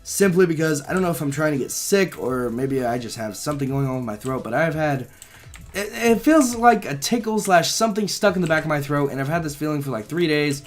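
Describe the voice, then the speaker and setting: A slightly hoarse young man rambling through casual gameplay commentary, swearing freely, his mic held close to his mouth. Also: fairly high pitch, animated, fairly quick, soft background music. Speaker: gaming channel host.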